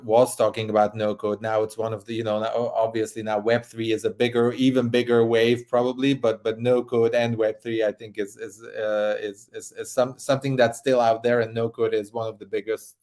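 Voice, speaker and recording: A man's voice: mid-range voice, a little mellow. A middle-aged man from Hungary with a Hungarian accent, guesting on a video-call interview and chatting casually, with lots of restarts and fillers. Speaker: startup co-founder guest. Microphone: video call webcam.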